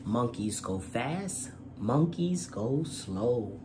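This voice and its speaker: A man's voice: medium pitch, sing-song, extremely slow, background noise. A man presenting and reading aloud as host of a children's storytime, with a courteous manner. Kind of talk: storytime read-aloud and channel chat.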